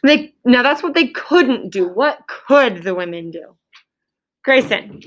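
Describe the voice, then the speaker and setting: A woman with a high voice works as an elementary school teacher leading a lesson. She puts questions to her pupils in a directive way. She repeats their answers back to confirm them. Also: animated, slow. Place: classroom with pupils.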